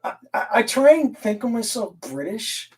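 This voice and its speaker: A man's voice: very high voice, sing-song, normal speed, recorded from a distance. A man raised in Canada hosting a casual live stream, rambling, laughing often and reading the chat aloud. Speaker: livestream host and guitar collector.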